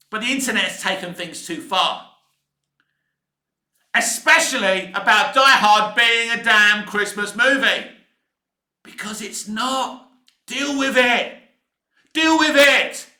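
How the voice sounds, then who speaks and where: An echoey room carries a very high, bright voice. It belongs to a British man in his fifties, ranting casually as a film vlogger. He is emphatic and exasperated, hammering his points over and over. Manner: emphatic, exasperated, swearing mildly, repetitive. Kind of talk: opinionated rant to camera, casual.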